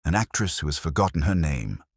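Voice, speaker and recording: A man voicing a grumpy, imperious genius detective in an audiobook, pompous, formal and deliberate. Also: rumbling low, big pitch swings, no echo, clean studio sound, full character voice. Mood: firm. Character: large brilliant grumpy male detective, imperious and weary.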